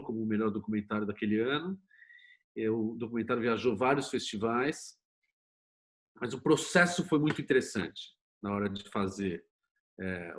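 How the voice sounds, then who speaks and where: A middle-aged man with a Brazilian Portuguese accent, telling his own story candidly as an interview guest. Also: fairly low pitch. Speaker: interview guest, screenwriter and producer.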